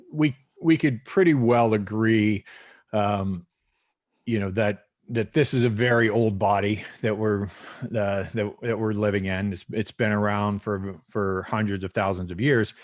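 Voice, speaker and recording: A man's voice: lowish voice, dry recording, studio quality. A man with a Texan accent, a doctor guest answering interview questions in a loose, rambling way with many fillers and restarts. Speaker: physician guest.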